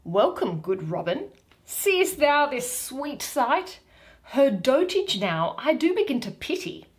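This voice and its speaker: A woman playing a haughty male fairy king who is softening into pity, in Shakespearean verse. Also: sits high, very animated, slow, full character voice. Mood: pitying, reproachful.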